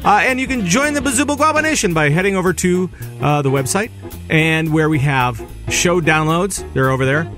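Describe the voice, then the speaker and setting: A man's voice: a little high, expressive, a little fast, fairly bright tone, faint music behind. A man hosting a casual gaming podcast, upbeat and rambling, with plenty of filler words. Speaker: podcast host.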